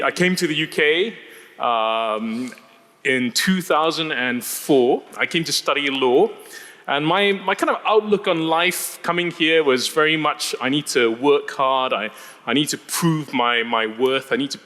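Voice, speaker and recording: A man from Singapore telling his personal story as an interview guest, haltingly, repeating words and phrases. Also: on the high side, sharp tone. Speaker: interview guest giving testimony. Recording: reverberant hall.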